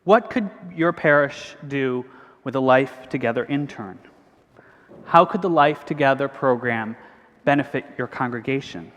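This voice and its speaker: A priest, giving an earnest, measured convention speech. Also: male voice, mid-range voice, animated, fairly bright tone. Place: large cathedral. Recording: long hall reverb.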